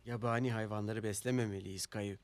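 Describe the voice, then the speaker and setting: A man's voice: on the low side, steady tone, a little fast, soft background music, close to an everyday voice. An actor playing a cheerful young father in a preschool cartoon dub, encouraging and explaining things simply to a child. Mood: kindly, upbeat. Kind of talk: Turkish dubbed preschool cartoon acting.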